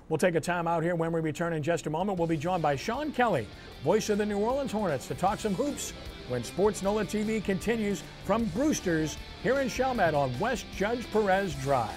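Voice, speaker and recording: Male sports show host, slightly high voice. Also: a little mellow. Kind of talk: sports talk show presenting. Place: live remote broadcast from a local venue.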